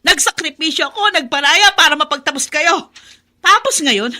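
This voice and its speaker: A woman's voice: high-pitched, lively intonation, moderate pace, bright, forceful tone, full character voice. A woman playing a weary, self-sacrificing eldest daughter in a Filipino radio drama, with a pleading, emotional delivery. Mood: resentful.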